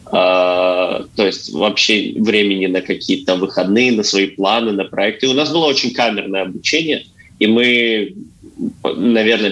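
Young man, interview guest, bright clear-cut timbre, halting conversational answers. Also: lowish voice, rough recording. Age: young adult. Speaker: guest, young theatre artist.

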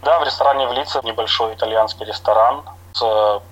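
A man's voice: medium pitch, lo-fi sound. Plain-spoken middle-aged man from Latvia. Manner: unhurried, plain-spoken, with soft hedging fillers. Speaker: interview guest, chef. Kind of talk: radio interview answers.

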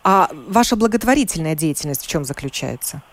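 A woman hosting a radio interview from the studio. She asks calm, guiding questions and offers words when the guest gets stuck. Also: deep voice, fairly quick. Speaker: radio host.

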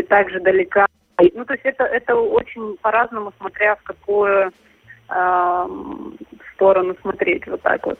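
A woman's voice: mid-range voice, no echo. A woman from Ukraine answering interview questions in casual, hesitant talk full of fillers and restarts. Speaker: interview guest. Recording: tinny phone line.

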